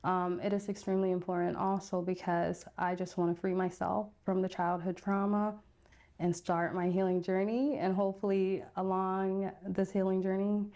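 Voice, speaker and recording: Soft dark-toned woman giving testimony. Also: mid-range voice, little pitch movement, moderate pace. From South Sudan. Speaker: interview guest telling her life story. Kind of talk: personal testimony.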